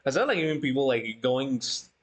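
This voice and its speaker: A livestream host chatting casually and reading out the chat, halting and often breaking into giggly laughter. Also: mid-range voice, expressive, low-quality recording.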